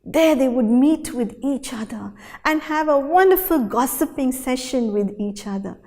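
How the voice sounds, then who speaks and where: A woman storyteller telling a folktale in a dramatic, animated way, with deliberate pauses and repetition. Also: high-pitched. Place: hall at a storytelling festival. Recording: reverberant hall sound.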